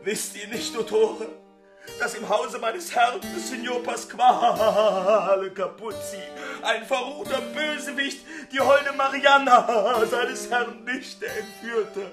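A clownish stage servant who laments with great melodrama. The delivery is slow, in a roomy acoustic with guitar music playing. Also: female voice, on the high side. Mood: dramatic. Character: wailing, clownish male servant on a comedy stage.

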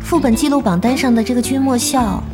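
A woman as a calm young gamer, lightly high-voiced. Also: fairly quick, music in the background, lightly acted. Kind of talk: Chinese audio drama acting.

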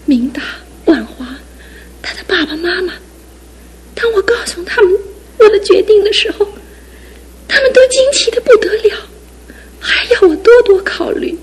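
A woman's voice: very high voice, animated, moderate pace, long pauses. An actress playing a young woman facing her fiancé's lasting injury, earnest and tearful, in a radio drama. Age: young adult.

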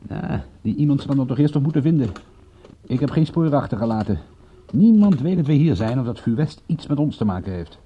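An actor in a radio drama playing a wry, stubborn private detective with an offhand way of talking. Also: male voice, medium pitch, sing-song, brisk, warm tone, a little hiss or hum, lightly acted. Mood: wry, confident. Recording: muffled, dull old radio recording.

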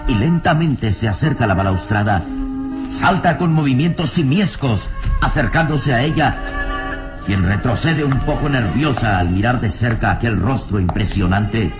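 A man's voice: mid-range voice, natural voice. A man performing a radio serial narrator who tells the story solemnly and with suspense. His delivery is theatrical, rising and falling widely, with prominent music behind it.

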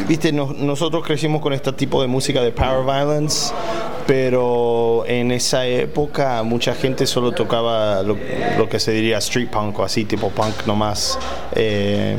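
Argentine man with loud crowd behind. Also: mid-range voice. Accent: Argentine Spanish mixed with Californian influences. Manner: hesitant, many fillers, laughs easily. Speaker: band vocalist. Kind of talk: casual interview. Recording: noisy crowd in the background.

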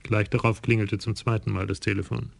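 A man narrating a German crime radio play in a calm, measured way. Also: fairly low pitch, vocal fry, no room sound.

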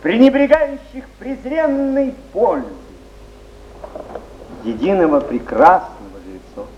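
High, swooping melancholy artist. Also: male voice, expressive, unhurried. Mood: weary, lofty. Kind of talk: theatrical stage declamation. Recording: echoey, muffled 1970s theatre recording, faint hiss.

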